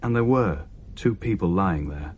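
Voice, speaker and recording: A man's voice: sits low, lively intonation, measured pace, darkish tone, a little hiss or hum. An actor playing a shaken man who recounts a horrifying haunting in a dramatic radio play. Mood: horrified, haunted. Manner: hesitant, haunted, dramatic storytelling. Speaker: narrator and character in radio play.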